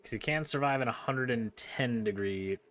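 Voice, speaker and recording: A man's voice: mid-range voice, moderate pace, fairly bright tone. A man giving casual gameplay commentary, playfully thinking aloud and breaking into exclamations. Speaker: gaming video host.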